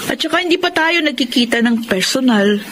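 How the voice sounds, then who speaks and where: A bashful young Filipina with a high voice. She protests hesitantly in radio drama style, over faint broadcast noise. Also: animated, fairly quick. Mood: bashful, surprised. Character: bashful, defensive young live-in girlfriend.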